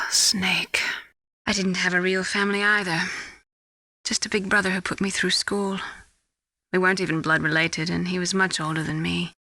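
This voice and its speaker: A woman's voice: on the low side, little pitch movement, normal speed, long pauses, bright, forceful tone, dry recording. An actress playing an earnest female scientist in a video game, questioning and probing in a dramatic style. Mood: wistful.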